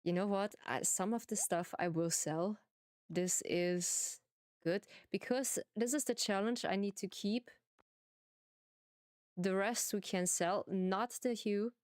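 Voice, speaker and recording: A woman streamer with a German accent, playfully chatting to viewers in simple, halting English while she plays a farming game. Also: medium pitch, a little mellow, no room sound, studio quality.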